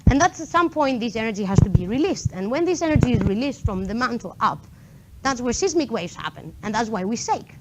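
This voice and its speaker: A young woman with a Greek accent speaks to a room of listeners in a fairly high voice, lively and informal, with frequent ums and quick asides. Faint room noise sits under her voice. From Greece. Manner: lively, chatty with the audience, many fillers. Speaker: conference speaker. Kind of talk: meetup tech talk. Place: lecture room before an audience.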